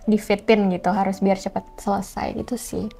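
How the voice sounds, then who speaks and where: A woman's voice: medium pitch, level tone, fairly quick, creaky, warm tone. A woman chats casually and expressively as an interview guest, mixing in English phrases. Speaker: singer-songwriter guest.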